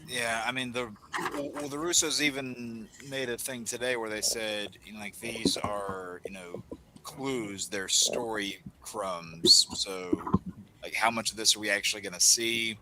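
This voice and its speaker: A man joining a podcast chat at a middle pitch, rambling a little and quick to agree. A clear murmur of crowd noise runs behind him. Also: animated, poor audio. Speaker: podcast co-host.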